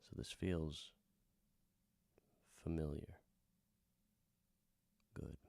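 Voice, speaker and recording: A man playing a weary, gentle, wry wandering bard who tells tales in an unhurried, musing way with trailing pauses, in the style of a radio drama. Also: a bass voice, level tone, gravelly, no echo, exaggerated voice. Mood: wistful, wry. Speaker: host and bard of a radio hour. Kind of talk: in-character radio drama storytelling.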